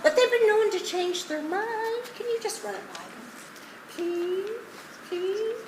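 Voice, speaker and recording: A woman's voice: very high voice, very animated, a little slow, heavily acted. A woman on stage playing an effusive, exclamatory actress who worships her playwright, in a comedic play. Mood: eager, gushing. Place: live stage at a one-act play festival. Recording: roomy stage sound with noise.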